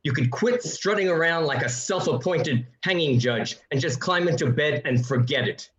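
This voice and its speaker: A man's voice: on the high side, normal speed, fairly bright tone, dull audio, close to an everyday voice. A man playing an exasperated, sarcastic neighbor arguing for reason in a radio drama, in a roomy home recording. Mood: indignant. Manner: sarcastic, indignant. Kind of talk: radio play acting.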